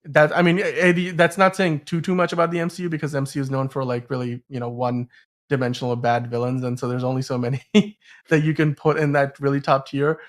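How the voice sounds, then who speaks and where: A man's voice: mid-range voice, level tone, fast talker. A man hosting a casual film discussion podcast, talking in a rambling, emphatic way and often stumbling over his words. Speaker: podcast host.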